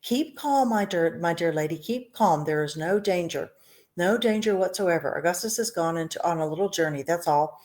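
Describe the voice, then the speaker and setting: A woman voicing an excitable man who runs a chocolate factory, fretful and pleading. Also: on the low side, normal speed, natural voice. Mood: soothing.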